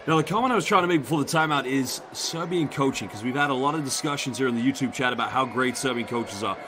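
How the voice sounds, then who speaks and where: Chatty London play-by-play man calling basketball over a noisy arena feed. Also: medium pitch. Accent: London English. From England. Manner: chatty, reads out viewer shout-outs between plays. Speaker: play-by-play commentator. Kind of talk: live basketball commentary.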